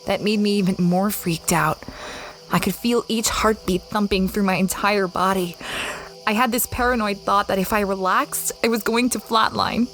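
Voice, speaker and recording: A woman's voice: mid-range voice, fairly even intonation, moderate pace, a bit bright, a little hiss or hum, natural voice. A woman playing a dreamy space explorer who recounts her adventures in a reflective, conversational way, as audio drama narration.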